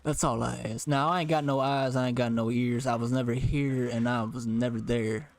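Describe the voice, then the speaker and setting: A man's voice: medium pitch, steady tone, a little fast, dry recording, lightly acted. A man playing a shifty, folksy frontier henchman in an improvised western roleplay, repeating his phrases. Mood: sly. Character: laconic, shifty frontier henchman who keeps secrets.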